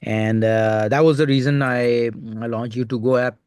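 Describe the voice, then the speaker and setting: A man with an Indian accent hosting a recorded interview over a remote call, talking in halting stretches full of filler sounds. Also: medium pitch, steady tone, a little mellow, smooth, no room sound. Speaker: host.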